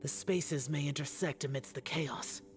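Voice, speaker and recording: A woman's voice: deep voice, normal speed, close to an everyday voice. A woman voicing a brooding, aloof immortal warrior youth in a fantasy video game, terse, grave and guarded. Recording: game music behind the voice.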